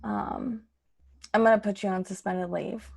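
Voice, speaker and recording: A woman's voice: mid-range voice, fairly even intonation, measured pace, gravelly, a little mellow. A woman playing a stern, exasperated police boss with a firm, dry, natural delivery in improvised radio comedy.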